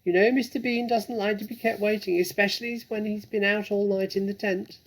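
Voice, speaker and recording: Man doing a bossy housewife, raised loud voice, snappy, impatient, storybook style. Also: high-pitched, fairly even intonation, brisk, pitched above the performer's own voice, poor audio. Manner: calling loudly, snappish.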